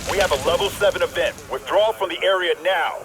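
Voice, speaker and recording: An actor playing an authoritative military controller in an action game, giving terse, clipped radio commands. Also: male voice, sits high, quick pace, lightly acted. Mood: urgent. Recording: very loud helicopter noise.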